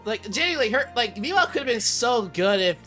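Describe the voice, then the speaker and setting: A man's voice: very high voice, big pitch swings, fairly quick, sharp tone, faint music behind. A man hosting a casual ranking chat, thinking aloud and repeating words as he decides. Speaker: host. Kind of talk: casual discussion ranking anime characters.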